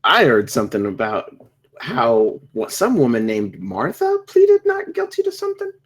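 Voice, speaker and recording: A man voices a muddled, earnest slacker in a comedy table read. The voice is husky and middling in pitch, swinging wildly as he rambles. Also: huge pitch swings, moderate pace.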